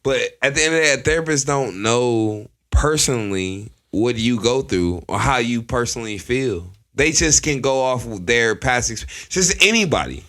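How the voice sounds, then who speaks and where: A man's voice: medium pitch, expressive, normal speed, few pauses. A man in his twenties with a strong voice, arguing his point and holding the floor in a casual podcast chat. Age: 20s. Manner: forceful, holds the floor, argues his point. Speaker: podcast co-host.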